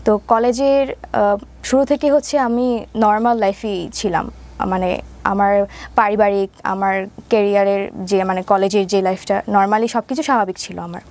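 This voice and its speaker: A woman's voice: medium pitch, steady tone, moderate pace, darkish tone. A woman of around twenty from Mymensingh with a Bangladeshi accent, telling her life story conversationally as an interview guest, step by step with habitual filler phrases.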